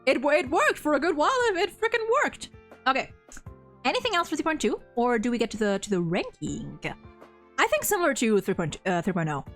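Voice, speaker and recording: A woman with a fairly high voice hosts a casual livestream discussion. She is animated and blunt, swears easily, and speaks over faint music. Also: brisk. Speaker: host ranking game story quests with a guest.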